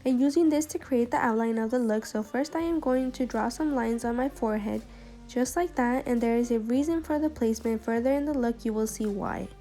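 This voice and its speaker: A woman's voice: on the high side, brisk, mellow. A young woman narrating a makeup tutorial as a voice-over, her voice a little off from being sick. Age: young adult. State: sick, voice affected by a cold. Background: background music.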